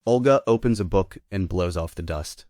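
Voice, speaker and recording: A man narrates a play script in a low-pitched voice. He reads scene headings and stage directions, and the sound is dry and close. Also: normal speed, darkish tone, dry recording.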